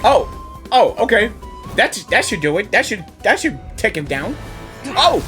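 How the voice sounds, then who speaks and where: A woman reacts live to a video game with a bright, forceful voice. Her pitch jumps around wildly as she exclaims and repeats herself, over faint music. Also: very animated, measured pace. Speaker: gaming reaction host.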